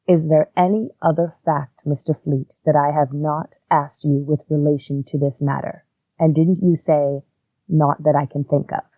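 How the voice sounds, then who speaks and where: Courtroom audio drama: probing Victorian defense counsel read by a woman, very low register, soft-edged tone. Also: no room sound, muffled recording. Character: probing, insistent male defense attorney in 1890s courtroom. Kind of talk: dramatized reading of a trial transcript.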